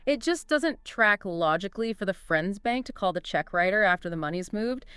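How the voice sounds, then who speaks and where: A woman co-hosting a discussion show, her voice smooth and resonant with a lively, rising and falling delivery. She is recorded close and dry, with no room around her. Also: medium pitch, moderate pace, dry recording. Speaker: podcast co-host. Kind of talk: scripted two-host discussion.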